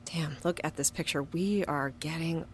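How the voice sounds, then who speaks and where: A woman's voice: deep voice, moderate pace, a little hiss or hum, natural voice. An actress playing a blunt, teasing young woman in an audio drama, speaking in a casual, dry, needling way. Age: young adult.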